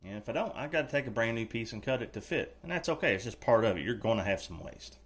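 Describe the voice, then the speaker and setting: A man's voice: mid-range voice, expressive, fast. Folksy joking man teaching floor work. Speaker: DIY channel host. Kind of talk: casual how-to instruction. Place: indoors, room under renovation.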